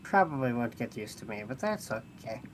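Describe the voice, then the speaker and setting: A man acts out a pragmatic, haughty construct in a tabletop roleplaying game. The voice sits at a medium male pitch, with theatrical, condescending and halting delivery. There is slight noise in the recording. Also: sing-song, lightly acted. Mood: matter-of-fact. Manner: condescending, theatrical, hesitant phrasing. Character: haughty, pragmatic nonhuman mechanical adventurer, amused by mortals.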